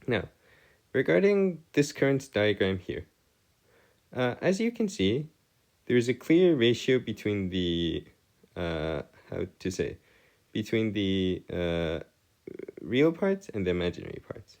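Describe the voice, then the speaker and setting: A man giving an explanatory maths lesson as a tutor, with formal, wordy phrasing and halting, hesitant delivery. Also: on the low side, big pitch swings, darkish tone. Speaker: math tutor. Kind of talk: explanatory maths lesson over written working.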